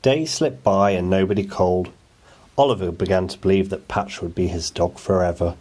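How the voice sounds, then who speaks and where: A man narrates a children's picture book for bedtime. His voice sits a little low, in a storytelling delivery made for young listeners. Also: moderate pace, a little mellow.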